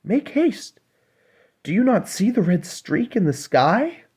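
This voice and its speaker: A man's voice: sits high, sing-song, slow, darkish tone, breathy edge, pitched above the performer's own voice, exaggerated voice. A man voicing urgent, pleading mermaid sisters in a storybook tale, with an insistent, imploring manner. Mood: frantic, urgent.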